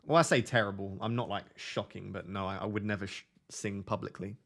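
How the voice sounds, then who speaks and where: A young man hosting a live stream, chatting casually with his viewers in a flat, monotone, self-deprecating way. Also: on the low side, fairly quick, creaky. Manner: self-deprecating, chatty, reads out chat messages. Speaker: livestream host.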